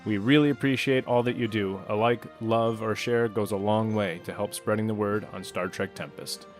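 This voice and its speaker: A man's voice: fairly low pitch, steady tone, moderate pace. A man reading a scripted podcast outro as the show's announcer. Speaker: show announcer. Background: guitar music.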